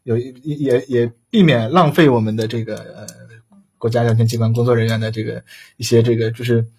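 A man co-hosting a livestream in casual chat, talking in a halting, rambling way with repeated words. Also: medium pitch, fairly even intonation, dark tone, breathy edge. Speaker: livestream co-host.

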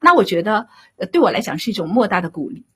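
A woman speaks in a markedly husky, mid-pitched voice. She answers interview questions in a measured, courteous way, explaining her points step by step. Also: sing-song, fairly bright tone. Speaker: radio drama director, interview guest.

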